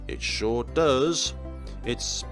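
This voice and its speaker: A young man reading a visual novel aloud as a let's player, in a theatrical, expressive way. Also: medium pitch, drawn-out words. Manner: theatrical, expressive, with playful asides. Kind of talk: dramatic read-aloud narration of a visual novel. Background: game music.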